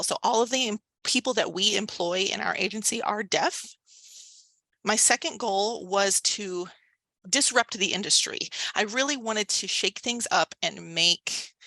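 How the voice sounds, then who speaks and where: Woman interpreter, gravelly with a sharp edge, voicing phrase by phrase. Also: mid-range voice, dry recording. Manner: halting, phrase by phrase, uneven pace. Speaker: voice interpreter for a deaf panelist. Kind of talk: interpreting a panel introduction into speech.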